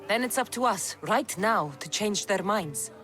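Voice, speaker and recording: An actress playing a determined warrior-mercenary who speaks in a direct, resolute way. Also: female voice, medium pitch, animated, measured pace, a bit bright, soft background music.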